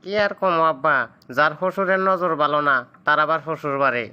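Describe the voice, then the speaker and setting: A man's voice: on the high side, a little fast, bright, forceful tone, dull audio, slight background noise. A man playing an entitled young village son-in-law in a comedy cartoon, with a Sirajganj Bangladeshi accent and a complaining, sarcastic way of talking. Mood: sarcastic complaint.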